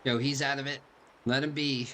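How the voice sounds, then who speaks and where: A man's voice: mid-range voice, flat delivery, moderate pace, bright, forceful tone, a little hiss or hum. A man giving casual game commentary as a streamer, chatty, slangy and laid-back. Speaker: gaming streamer. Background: faint game music.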